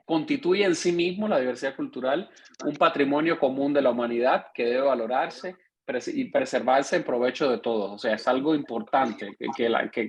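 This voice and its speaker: A man's voice: mid-range voice, husky. A man with a Cuban accent giving an online lecture as a course instructor, explaining at length and often checking in with his listeners.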